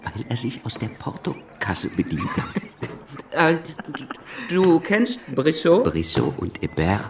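A man's voice: medium pitch, wildly swooping pitch, slow pace, a little hiss or hum, exaggerated voice. An actor playing a witty male pamphleteer with a slight stammer, narrating and talking wryly in a radio play. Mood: wry, gossipy. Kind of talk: first-person radio play narration and dialogue.

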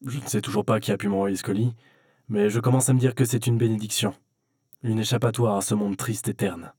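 A man's voice: fairly low pitch, fairly even intonation, fairly quick, darkish tone, lightly acted. A man playing a disillusioned but principled insurance adviser and gamer, world-weary and plain-spoken, in an audio drama. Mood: bitter, weary. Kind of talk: scripted audio-drama acting and narration.

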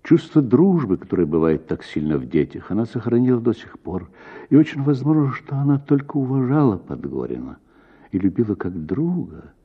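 A man reading a literary story aloud in an expressive, measured, dramatic way with deliberate pauses. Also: mid-range voice, husky, highs cut off. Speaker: actor reading a short story.

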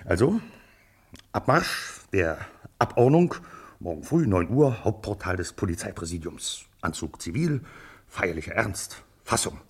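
An actor playing a shrewd, self-assured police chief in a radio drama, speaking deliberately and persuasively in an official manner. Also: male voice, low-pitched, big pitch swings, slightly rough, full character voice. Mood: firm, persuasive. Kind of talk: German radio drama acting.

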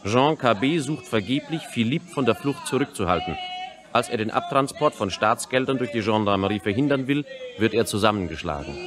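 A man's voice: medium pitch, lively intonation, brisk, lightly acted. A man narrating a radio drama in a factual, reportorial manner, setting historical scenes in terse scripted phrases. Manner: reportorial, telegraphic delivery of dates and stage directions.

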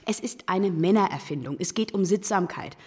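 A woman's voice: fairly low pitch, moderate pace, natural voice. A young woman playing an outspoken, scornful, combative teenage schoolgirl in a German radio drama. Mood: heated, scornful. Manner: blunt, combative, argumentative.

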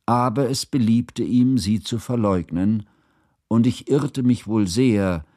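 An actor playing an earnest, devoted scholar who narrates memories of a friend in a measured, bookish, solemn way for a radio drama. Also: male voice, mid-range voice, mellow, no echo, studio quality. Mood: reflective.

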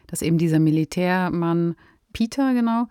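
A woman's voice: on the low side, normal speed, warm tone, smooth, no echo, clean studio sound. A woman hosting a podcast in an articulate, informal presenting manner. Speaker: podcast host. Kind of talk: scripted credits and conversational discussion.

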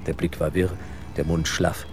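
A man narrating an audio drama in a measured, suspenseful, literary style. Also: very deep voice, little pitch movement, noisy background.

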